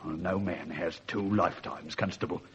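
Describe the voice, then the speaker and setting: Husky, menacing older killer. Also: male voice, deep voice, fairly even intonation, fairly bright tone, lo-fi sound, heavily acted. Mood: cold, bitter. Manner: measured, wordy, mock-courteous. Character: menacing, coolly sardonic older male killer, ex-convict. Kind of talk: radio drama acting.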